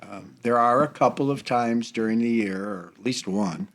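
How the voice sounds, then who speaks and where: An American man over fifty chatting casually in a group, with a hesitant, halting way of talking. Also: mid-range voice. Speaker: church seniors' group member.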